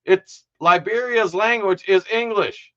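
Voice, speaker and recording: A man's voice: high voice, measured pace, fairly bright tone, dull audio. Indignant rambling podcast host, man. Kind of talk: casual political commentary.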